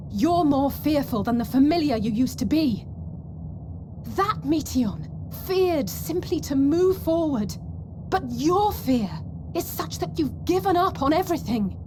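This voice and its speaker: A woman voicing an earnest, resolute young heroine in a video game, measured and heartfelt. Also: high voice, background noise. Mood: firm empathy. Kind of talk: scripted video game cutscene acting. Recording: game music under the voice.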